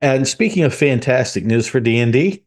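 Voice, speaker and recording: A man co-hosting a podcast, talking through business news in a measured, step-by-step way. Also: medium pitch, expressive. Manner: measured, explains step by step with sports analogies. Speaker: podcast co-host. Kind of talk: casual analytical chat.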